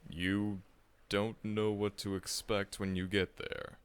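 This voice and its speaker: A man's voice: on the low side, little pitch movement, slow pace, clean tone. A man voicing a seasoned, friendly veteran monster hunter in an audio roleplay, chuckling often and teasing the listener.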